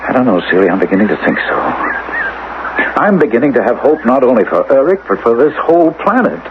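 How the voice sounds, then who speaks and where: A man's voice: medium pitch, very animated, fast talker, close to an everyday voice. An actor playing a determined, persuasive doctor and father in an old-time radio drama, scheming to save his son. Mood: urgent, hopeful. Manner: urgent, persuasive, reassuring. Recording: tinny, band-limited, hissy old radio recording.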